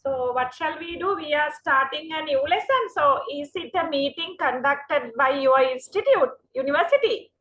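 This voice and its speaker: A woman with a Sri Lankan accent giving an online university lecture, slow and explanatory with frequent fillers. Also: high voice, animated, a bit bright, low-quality recording.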